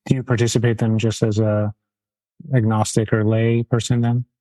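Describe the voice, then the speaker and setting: A man's voice: fairly low pitch, level tone, normal speed, warm tone, poor audio. A man hosting a casual interview, talking in long rambling sentences with restarts. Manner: rambling, many restarts and trailing phrases. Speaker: interview host.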